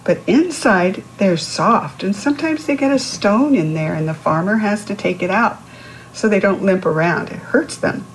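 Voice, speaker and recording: A woman's voice: mid-range voice, expressive, moderate pace, slight background noise, low-quality recording. A woman reading a picture book aloud to young children, instructive, posing questions to the listener and explaining words. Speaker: children's read-aloud host.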